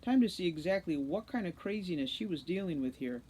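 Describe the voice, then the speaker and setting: A woman reading a children's novel aloud as an expressive narrator. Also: lowish voice, fairly quick, breathy edge. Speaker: read-aloud storybook narrator, teacher.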